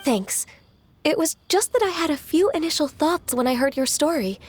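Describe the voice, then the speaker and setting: Gentle, high-voiced young assistant. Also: female voice, lively intonation, moderate pace. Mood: gentle, sincere. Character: gentle, diligent young half-beast woman secretary. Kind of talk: anime video game voice acting.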